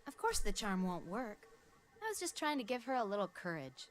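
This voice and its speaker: A woman's voice: medium pitch, sing-song, brisk, lightly acted. A woman voicing a playful, teasing young adventurer who hides a lovelorn side in a video game. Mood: wistful. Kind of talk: English-dubbed video game voice acting.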